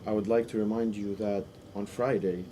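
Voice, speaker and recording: A man interpreting a panel discussion live, in halting phrases with restarts and sudden bursts of speed. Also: on the low side, little pitch movement, dark tone, slight background noise. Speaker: simultaneous interpreter.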